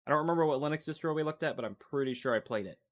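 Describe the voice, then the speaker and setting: A man's voice: on the high side, racing. Stuffy-nosed man chatting through a demo. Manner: thinking aloud, loose and unscripted. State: stuffy, congested from a cold. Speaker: tech channel host. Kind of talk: casual screen-recording commentary.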